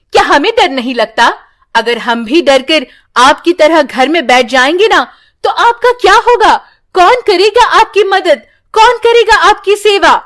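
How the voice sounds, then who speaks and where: An indignant lady doctor acted by a woman scolds in a high, emphatic voice. The delivery is righteous and stern, in the manner of a moral storybook drama. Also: fairly bright tone, heavily acted. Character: indignant female doctor scolding heartless villagers. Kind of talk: children's moral story acting.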